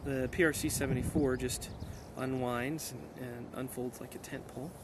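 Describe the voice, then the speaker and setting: A man in a casual hands-on demonstration, talking in an unhurried, explanatory way as an amateur radio operator outdoors. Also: mid-range voice, fairly even intonation. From United States. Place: outdoors on a mountainside. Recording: noisy outdoor camera recording. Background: outdoor ambient noise.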